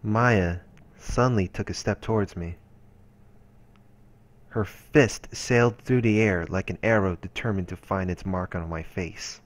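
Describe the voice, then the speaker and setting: A man narrating a visual novel aloud in a measured storytelling manner. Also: low-pitched. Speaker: let's-play narrator. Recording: voice-over with music behind. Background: game music.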